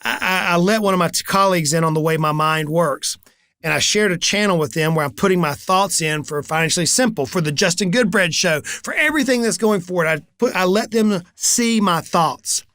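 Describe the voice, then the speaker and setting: A man's voice: high-pitched, fairly quick, bright, forceful tone, no echo. A man in his forties hosting a business coaching talk, telling stories energetically and acting out the conversations he quotes. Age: 40s. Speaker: business coach and channel host. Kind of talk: animated solo talk to camera.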